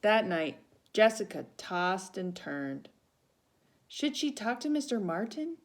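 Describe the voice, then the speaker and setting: A woman reading a children's story aloud with animated narration and small asides to young listeners. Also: medium pitch, slow pace. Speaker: volunteer storybook reader.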